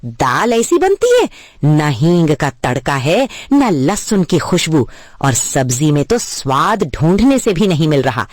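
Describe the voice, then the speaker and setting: A woman acts out a bossy middle-aged Indian mother-in-law in a family cartoon. The voice is low and swings widely as she orders and finds fault, in a dry recording. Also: very animated, slow pace, husky, no echo, exaggerated voice. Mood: scolding. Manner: domineering, fault-finding. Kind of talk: cartoon moral story dialogue.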